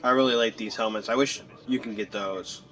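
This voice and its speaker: Guy chatting over his game playthrough, lowish voice, rambly and jokey, thinking out loud. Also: steady tone, brisk. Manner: rambling, joking, thinking aloud. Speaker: gamer commenting on a playthrough. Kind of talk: casual gameplay commentary.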